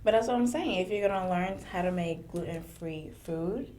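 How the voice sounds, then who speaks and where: A young woman whose first language is French, a podcast guest chatting casually and thinking aloud with pauses. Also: lowish voice, dark tone, slight background noise. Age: young adult. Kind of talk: casual chat.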